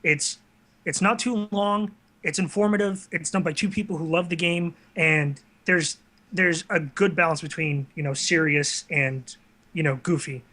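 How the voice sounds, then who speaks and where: A man's voice: on the high side, fairly even intonation, unhurried, pushed and bright. A man speaking as a guest in a casual interview, explaining his work in an earnest way. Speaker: guest, online video series creator.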